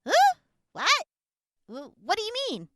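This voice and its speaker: A woman's voice: very high-pitched, wildly swooping pitch, measured pace, fairly bright tone, exaggerated voice. A woman playing a tough, wry and evasive wasteland survivor in an audio drama.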